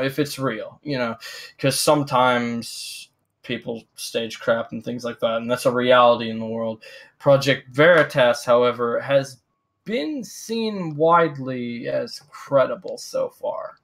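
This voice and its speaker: A man giving casual livestream commentary, explaining things in a deliberate, hedging way. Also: medium pitch.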